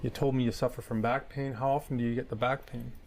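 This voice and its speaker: A man's voice: medium pitch, little pitch movement, quick pace, gravelly, a little mellow, natural voice. A man playing a methodical lawyer who questions an injured claimant in a formal, probing way. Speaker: examining lawyer. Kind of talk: staged legal discovery questioning.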